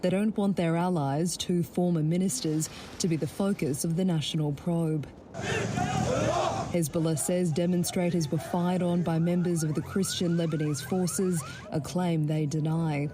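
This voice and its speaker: A woman's voice: on the low side, steady tone, normal speed, dark tone, a little hiss or hum. A woman with an Australian accent reading a scripted news report.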